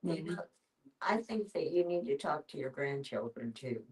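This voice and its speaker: A woman past sixty with a notably low voice shares casually and plainly. She speaks haltingly, captured by a microphone some distance from her. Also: lively intonation, a little mellow. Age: 60s or older. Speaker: discussion participant, grandmother. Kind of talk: casual personal sharing.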